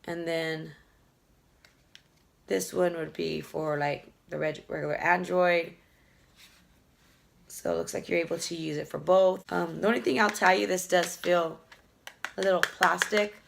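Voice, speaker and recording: A woman beauty vlogger chatting casually through an unboxing, hoarse from a lingering cough, rambling fondly about each item. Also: lowish voice, steady tone, a little slow, pauses often, a little hiss or hum. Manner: chatty, fond, rambling product talk with fillers. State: hoarse, losing her voice from a cough.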